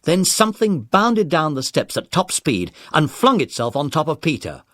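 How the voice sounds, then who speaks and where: A man's voice: on the high side, very animated, moderate pace, a bit bright, dry recording, clean studio sound. A man narrating a scripted children's adventure story in a dramatic, listener-friendly storytelling manner. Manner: dramatic, addresses the young listeners.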